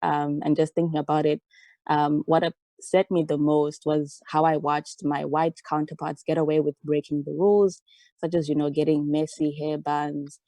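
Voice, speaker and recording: A young woman reflects on her past in a soft, measured voice, speaking over a video call. Her tone is dark and gravelly and stays flat. The sound is dry and close. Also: low-pitched, no echo. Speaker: discussion participant. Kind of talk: reflective personal sharing.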